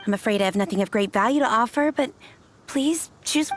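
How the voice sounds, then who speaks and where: Woman playing a high-voiced, grateful young merchant. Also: brisk, background noise, close to an everyday voice. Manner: courteous, earnest. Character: grateful, courteous young female travelling merchant. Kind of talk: anime-style video game character acting.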